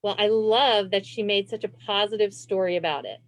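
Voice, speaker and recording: A woman librarian reading a children's picture book aloud in an expressive, encouraging way. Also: mid-range voice, a little fast, dry recording. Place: indoors on a video call.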